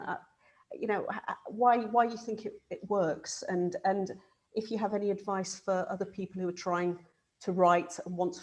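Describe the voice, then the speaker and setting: A woman's voice: lowish voice, a little mellow. A woman interviewer asking a writer about her craft over a video call, hesitant with many fillers and repeated words. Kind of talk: interview questions.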